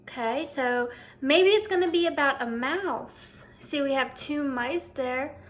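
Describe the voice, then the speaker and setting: High-pitched woman reading to children. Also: a little slow. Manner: prompting listeners with questions. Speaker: teacher reading aloud to children. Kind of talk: children's picture-book read-aloud with commentary.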